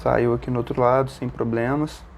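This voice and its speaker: A man's voice: mid-range voice, little pitch movement, normal speed, creaky, a little hiss or hum. Brazilian man giving hands-on instructions. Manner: explanatory, often checking in with short tag questions. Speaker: motorcycle gear tutorial presenter. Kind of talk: informal step-by-step hands-on instruction.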